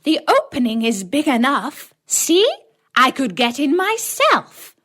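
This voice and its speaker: A woman voicing a wicked, greedy, bossy old witch in a children's fairy tale, jeering and commanding with drawn-out words. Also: high-pitched, very animated, a bit bright, pitched above the performer's own voice, full character voice. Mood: scornful.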